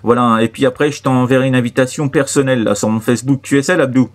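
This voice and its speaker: Male CB operator, medium pitch, casual radio-contact talk, halting, repetitive phrasing full of tags. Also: steady tone, very noisy.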